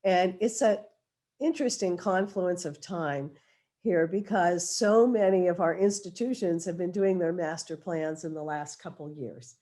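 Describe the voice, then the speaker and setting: A hoarse woman with a cold moderates a panel over a video call. Her voice sits a little low and sounds dark and mellow. She is chatty and keeps restarting her sentences. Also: unhurried. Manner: restarts phrases often, chatty. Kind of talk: webinar introduction. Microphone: remote video call.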